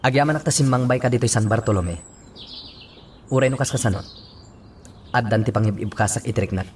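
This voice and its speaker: A man's voice: mid-range voice, a little fast, a little hiss or hum, close to an everyday voice. A man with an Ilocano accent playing an earnest, dutiful policeman in a radio drama. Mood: grateful, dutiful.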